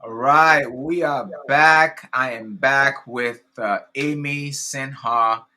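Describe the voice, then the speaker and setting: A man from Haiti with a Haitian accent, hosting a casual interview in an eager, halting way, with many restarts. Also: mid-range voice, steady tone, bright, forceful tone, a little hiss or hum. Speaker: host.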